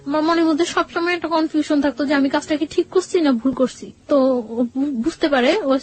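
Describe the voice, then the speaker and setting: A young woman with a Bangladeshi accent tells her own life story in an interview, haltingly, often correcting and repeating herself. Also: high-pitched, slight background noise. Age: young adult. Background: background music.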